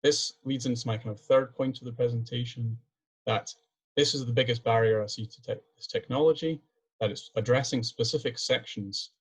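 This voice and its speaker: A man's voice: medium pitch, moderate pace, breathy edge, rough recording. A young Scottish man, a PhD student, giving a webinar presentation over a video call in an earnest way with frequent restarts. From Scotland.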